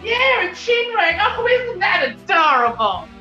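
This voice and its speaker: Gushing American club chanteuse, high and bright, cooing melodrama, 1940s radio comedy. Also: female voice, sing-song, unhurried, roomy sound, muffled recording, soft background music, heavily acted. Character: gushing, theatrical young female nightclub singer, gangster's fiancée.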